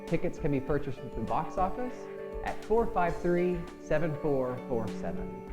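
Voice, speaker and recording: A man's voice: a little high, sing-song, moderate pace, music in the background. A man hosting an informal panel interview, putting questions to his guests in turn. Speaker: interview host.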